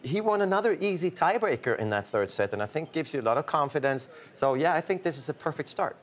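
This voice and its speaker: A middle-aged man talks tennis in a TV studio. His voice is slightly high, and his delivery is relaxed and fluent. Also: fast talker, slight background noise. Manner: relaxed, fluent, reads out statistics. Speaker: studio pundit. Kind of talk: sports analysis.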